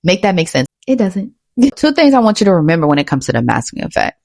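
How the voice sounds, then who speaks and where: Female vlogger, low gravelly register, blunt confessional delivery with emphatic repetition. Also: sing-song, quick pace, darkish tone, no room sound, studio quality. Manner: blunt, emphatic, repeats phrases in short bursts. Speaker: vlogger and author. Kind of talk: candid personal monologue to camera.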